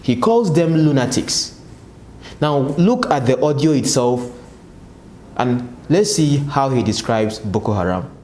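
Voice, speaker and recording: A man's voice: mid-range voice, expressive, unhurried, darkish tone, reverberant, slight background noise. A Nigerian man giving political commentary to his viewers, asking and answering his own rhetorical questions. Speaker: political analyst, channel host. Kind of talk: commentary to camera.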